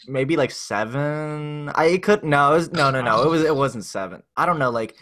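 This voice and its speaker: A young man co-hosting a casual podcast chat, teasing his friends with quick asides. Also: a little high, expressive, fairly bright tone. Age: young adult. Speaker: podcast co-host.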